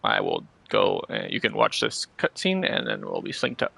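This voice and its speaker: A man's voice: extremely low pitch, wildly swooping pitch, normal speed, creaky, pushed and bright, faint music behind. A man streaming a video game, chatting casually with a co-player. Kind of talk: casual gaming chat, reading dialogue aloud.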